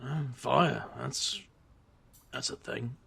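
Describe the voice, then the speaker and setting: Actor as a gravelly, brooding, wry man. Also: medium pitch, slow, fairly bright tone, full character voice. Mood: distracted. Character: weary, gravelly, brooding man narrator with dry bitter wit. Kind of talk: audio drama narration and dialogue.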